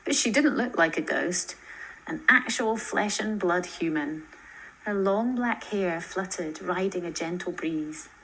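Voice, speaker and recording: Female story narrator, lowish register, soft rasp, scripted prose delivery. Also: a little slow, low-quality recording.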